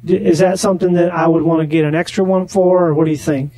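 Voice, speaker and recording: A man making a deadpan prank phone call, rambling naively and slow to catch on. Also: fairly high pitch, dry recording. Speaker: prank caller on a comedy podcast.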